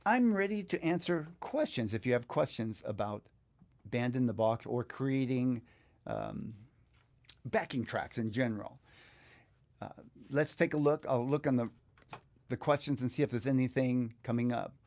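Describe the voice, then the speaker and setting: Older man with a gravelly, creaky voice. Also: medium pitch, animated, normal speed, a little mellow. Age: older, 50s to 60s. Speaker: guitar teacher hosting a live online session. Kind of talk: casual live tutorial. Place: indoor home studio.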